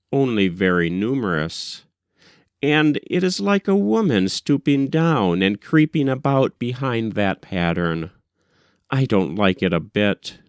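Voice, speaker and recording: A man reading a literary story aloud as an audiobook narrator, with deliberate, dramatic phrasing. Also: mid-range voice, smooth, dry recording.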